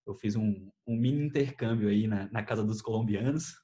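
A young man with a Brazilian accent chatting casually and telling stories in an animated, slangy way. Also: on the low side, brisk, dull audio. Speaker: host. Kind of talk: casual chat, telling memories.

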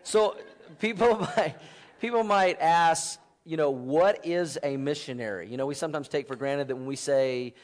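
A man's voice: fairly high pitch, normal speed. A man, asking questions as a church interviewer in casual, conversational phrasing. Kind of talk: casual interview before a congregation.

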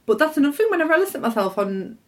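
A young woman, chatting casually and excitably on a podcast, swearing freely. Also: high-pitched, very fast, slight background noise. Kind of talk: casual chat.

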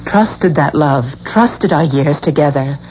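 An actress playing a proud, disbelieving wife in a 1970s radio drama, emphatic and theatrical. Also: female voice, low voice, slow pace, a little mellow. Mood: hurt, angry. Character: proud, disbelieving wife fiercely defending her husband. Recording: dull, noisy 1970s radio recording.

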